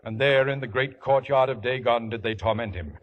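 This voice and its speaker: An actor playing a grave scriptural storyteller, narrating a 1950s radio drama in solemn biblical cadence. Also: male voice, medium pitch, brisk, narrow, tinny audio, a crowd in the background, close to an everyday voice. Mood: grave, dramatic. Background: music.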